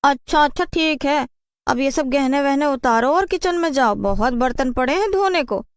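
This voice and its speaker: A woman's voice: high voice, normal speed, dry recording, studio quality. A woman voicing a bossy, scheming wife with a haughty, mean manner in a cartoon story. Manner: commanding, mocking.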